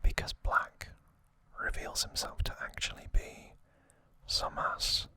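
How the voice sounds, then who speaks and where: An ASMR host narrating in a breathy, unvoiced whisper, slow and unhurried, close to the microphone. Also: no room sound. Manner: slow, unhurried, gently repeats words for effect. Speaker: host of an ASMR trivia channel. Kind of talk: whispered ASMR narration, summarizing an anime saga.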